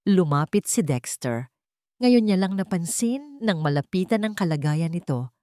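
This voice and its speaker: Low-voiced woman narrating a dramatic story. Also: very animated, normal speed, mellow, dry recording, clean studio sound.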